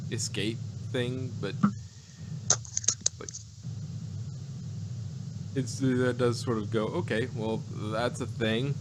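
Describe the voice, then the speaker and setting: A man with a fairly low voice talks slowly as a casual review co-host. He rambles and halts, searching for his words. The recording has a clear noisy hum behind him. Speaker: podcast co-host reviewing audio dramas. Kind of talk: casual unscripted chat.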